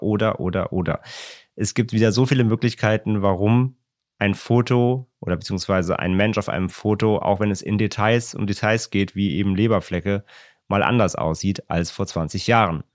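A man co-hosting a podcast, explaining things in a relaxed, rambling way with many repeats. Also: fairly low pitch, dry recording, studio quality. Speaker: podcast co-host. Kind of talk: casual podcast chat.

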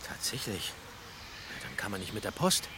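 Very animated teen boy detective. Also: on the low side, normal speed. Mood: puzzled. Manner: expressive, inquisitive. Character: excitable, curious teenage boy detective. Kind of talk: German audio drama acting. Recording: loud ambience noise behind dialogue.

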